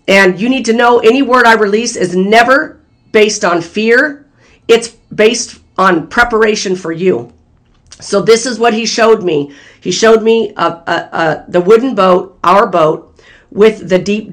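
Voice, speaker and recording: A channel host with a hoarse, failing voice, earnestly sharing a prophetic word in casual spoken style and talking straight to the viewers. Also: medium pitch, a bit bright. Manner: earnest, talks straight to viewers as "you guys". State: hoarse, losing voice.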